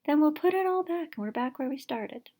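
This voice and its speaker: A woman's voice: high-pitched, expressive, fairly quick, warm tone, pitched above the performer's own voice. A woman voicing an eager, curious young girl scientist in a picture book, earnest and inquisitive. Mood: earnest, persuading.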